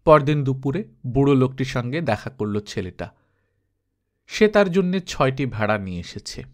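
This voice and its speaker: Man, mid-pitched, audiobook narrator, scripted literary storytelling. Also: lively intonation, moderate pace.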